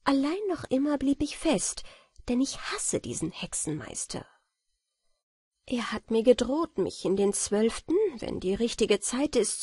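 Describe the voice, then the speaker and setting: Woman voicing a husky, insistent talking magpie. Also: mid-range voice, warm tone, no room sound, studio quality. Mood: defiant, grim. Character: sly, defiant talking magpie, bargaining and urgent. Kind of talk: fairy-tale audiobook character acting.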